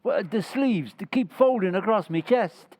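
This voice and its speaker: Actor as a dim, slow-talking barfly, high voice, radio comedy. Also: male voice, expressive, a little mellow. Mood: delighted. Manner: slow, dopey drawl. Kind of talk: 1940s radio acting.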